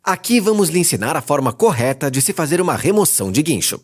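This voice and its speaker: A man's voice: a little high, sing-song, fast talker, slightly rough. A young man with a Brazilian accent reading scripted commercial and corporate narration as a professional voice actor.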